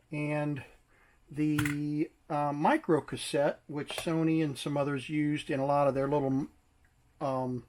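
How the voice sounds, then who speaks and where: A man casually demonstrating and explaining a vintage device, unhurried and pausing to search for his words. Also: a little high, a little mellow. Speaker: vintage tech collector presenting a device.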